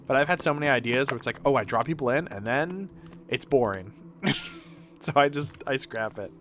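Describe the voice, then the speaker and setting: A man's voice: medium pitch, lively intonation, moderate pace, a bit bright, slight background noise. A man streaming video games, chatting casually in a rambling way with some swearing. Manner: rambling, profane. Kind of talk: casual livestream chat over gameplay.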